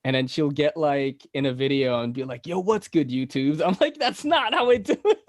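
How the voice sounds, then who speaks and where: A man's voice: a little high, animated, normal speed, no echo, studio quality. Laughing laid-back man with a Filipino accent. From Philippines. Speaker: podcast host. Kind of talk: casual podcast chat.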